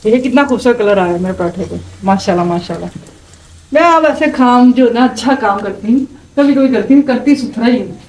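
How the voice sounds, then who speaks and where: Pakistani woman, high bright pitch, chatty home-cook vlogger, recorded far off in an echoey room with faint noise. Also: expressive, a little fast. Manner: chatty, explains each step to viewers. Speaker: home cook, vlog regular. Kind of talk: casual cooking demonstration. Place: home kitchen. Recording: roomy, from a distance, faint background hum.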